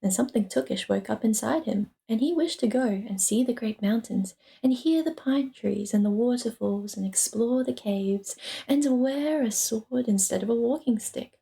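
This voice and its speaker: A young woman speaks as a streamer sharing a story reading. Her voice is on the high side, with a dark, mellow tone that has little sharpness. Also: moderate pace, gravelly. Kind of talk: dramatic storybook narration and casual chat.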